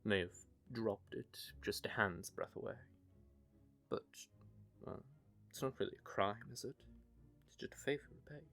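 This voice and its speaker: Man playing a gravelly, wry young knave. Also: lowish voice, normal speed, faint music behind. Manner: wry, rambling storytelling with dark humour. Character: young male knave, wry, haunted by grief. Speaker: confessing rogue. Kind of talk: hobby audio drama monologue.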